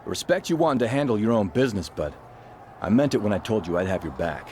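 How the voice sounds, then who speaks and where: A man plays a seasoned wrestler in a video game, frank and protective. His voice is fairly low and earnest, with faint ambience around it. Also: expressive, quick pace, darkish tone, slight background noise, natural voice. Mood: earnest, concerned. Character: steady veteran male wrestler, protective would-be tag partner. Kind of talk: video game cutscene acting.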